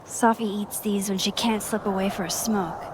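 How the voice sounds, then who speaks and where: A woman's voice: mid-range voice, steady tone, normal speed, noisy background, natural voice. A woman playing a wry, playful young woman in a naturalistic video game, casual and teasing. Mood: scheming, casual.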